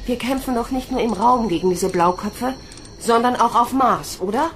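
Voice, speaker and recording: A woman's voice: fairly high pitch, moderate pace, fairly bright tone, a little hiss or hum, natural voice. A woman playing a stubborn but insecure young female recruit in a German science fiction radio drama. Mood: pleading, hesitant.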